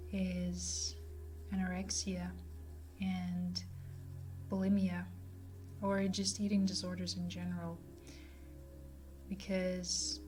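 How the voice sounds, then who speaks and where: A woman in her twenties giving a personal talk to camera in a halting, reflective way, often pausing mid-sentence. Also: mid-range voice, warm tone. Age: 20s. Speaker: vlogger sharing a personal testimony.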